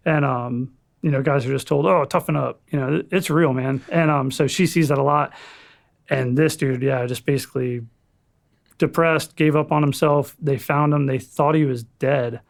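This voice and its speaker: Gravelly-voiced young man, chatty. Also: mid-range voice, normal speed. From Florida, USA. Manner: relaxed, rambling, swears casually. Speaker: podcast guest, firefighter paramedic. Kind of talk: casual chat.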